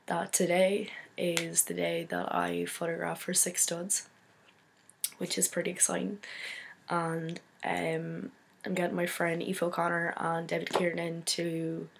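A young woman from Donegal with an Irish accent, hoarse from a cold, chatting casually to her laptop webcam in a small bedroom and rambling with many fillers. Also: fairly low pitch, a little hiss or hum. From Donegal, Ireland. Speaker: photographer vlogger. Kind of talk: casual chat to camera.

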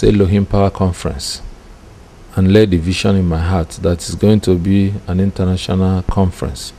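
A man's voice: deep voice, creaky, warm tone. A Nigerian-accented man in his fifties, an apostle, recounting his story reflectively in an interview, close to the microphone indoors. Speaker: church founder, apostle. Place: small curtained room indoors. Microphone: handheld-style microphone close in front.

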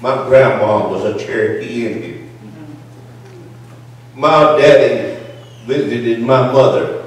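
A man's voice: medium pitch, slight background noise. Man in his 60s preaching in an echoey church. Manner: preacherly, building to emphatic declarations. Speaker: audience member at a town hall. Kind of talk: impassioned public speech. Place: large church sanctuary. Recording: echoey church hall from far off.

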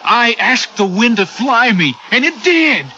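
A man's voice: very high voice, sing-song, unhurried, pushed and bright, slight background noise, full character voice. An actor playing an enthusiastic, dreamy young-at-heart space crewman, full of wonder, in a radio drama. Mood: excited. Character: boyish, dreamy, exuberant male space crewman. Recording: dull radio drama recording with music.

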